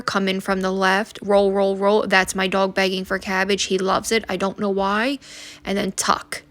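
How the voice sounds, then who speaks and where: A woman's voice: mid-range voice, a bit bright. Chatty woman explaining a recipe. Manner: chatty, explains step by step, unsure asides. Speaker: vlogger. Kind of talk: casual cooking vlog.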